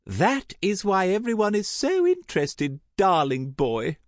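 Man voicing a gushing, high-pitched older society aunt. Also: wildly swooping pitch, a little slow, no room sound, studio quality, exaggerated voice. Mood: breezy gossip. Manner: gushing, theatrical, emphatic. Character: lively, dramatic, gossip-loving older aristocratic aunt. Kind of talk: audiobook character acting.

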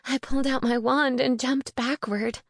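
A woman's voice: sits high, steady tone, normal speed, no echo, studio quality, voice pushed up. A woman voicing a frightened, tearful, innocent young witch in an audiobook, earnest and anxious. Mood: shaken.

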